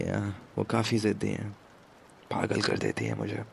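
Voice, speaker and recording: A man playing a commanding, protective mafia boss in an ASMR romance roleplay, speaking in a hushed, deliberate way with English words mixed in. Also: big pitch swings, a little hiss or hum, exaggerated voice. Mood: soft, reflective. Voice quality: low, gravelly, breathy at times. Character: commanding yet protective male mafia boss, tender with his captive.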